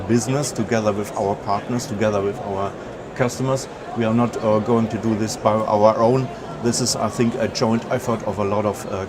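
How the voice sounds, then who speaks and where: German-accented man giving a halting talk. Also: medium pitch, background noise. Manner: halting, many filler sounds and restarts. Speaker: conference speaker. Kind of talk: conference presentation.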